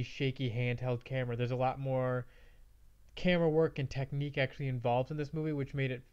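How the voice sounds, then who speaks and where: A man's voice: mid-range voice, darkish tone. A man hosting a casual movie review, his voice slightly off from a mild cold. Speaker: movie review show host. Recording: slightly dull, band-limited audio.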